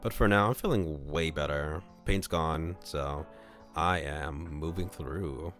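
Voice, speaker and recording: A man casually chatting on a livestream and reading a visual novel aloud in a rambling, halting way. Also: very deep voice, fairly bright tone, faint music behind.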